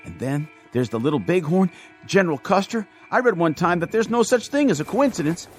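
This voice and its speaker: A jumpy boyish american army kid, highish voice that swings around a lot, radio drama. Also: male voice, very animated, a little fast, faint music behind. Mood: hushed, uneasy. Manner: nervous, boyish. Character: anxious, earnest young army private, superstitious and eager.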